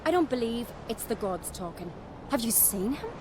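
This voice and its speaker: Higher-voiced, headstrong young noblewoman. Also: big pitch swings, normal speed, noisy background. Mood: earnest, convinced. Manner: frank, earnest storytelling. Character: earnest, headstrong young island noblewoman from a fantasy realm. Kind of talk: video game cutscene acting. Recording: music behind the voice.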